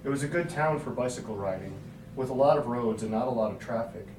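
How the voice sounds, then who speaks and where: A man's voice: mid-range voice, fast. A man reading a scripted story aloud as its narrator. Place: hotel room. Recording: echoey hotel room, distant mic, noisy.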